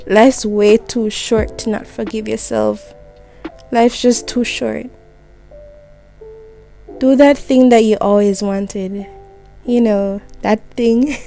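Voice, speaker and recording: A woman delivers a gentle, reassuring, intimate spoken monologue, speaking directly to the listener like a heartfelt voicemail. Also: on the high side, fairly even intonation, takes it slowly, creaky, long pauses, faint music behind.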